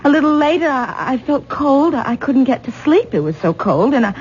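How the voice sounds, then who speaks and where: A woman playing an anxious, exasperated wife in a 1970s radio drama, halting and repeating words as she insists. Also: high-pitched, sing-song, darkish tone. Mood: agitated, insistent. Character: anxious, exasperated wife and young mother, adult daughter. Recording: dull, noisy 1970s radio broadcast recording.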